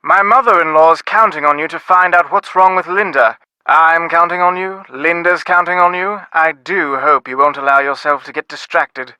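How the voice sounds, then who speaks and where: Game role: snobbish British upper-class dad, middle-aged, sardonic, highish sharp voice, very close dry sound. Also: male voice, no room sound, low-quality recording. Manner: pompous, wordy, dryly sardonic. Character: snobbish, dismissive middle-aged English father of aristocratic family. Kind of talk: scripted video game dialogue.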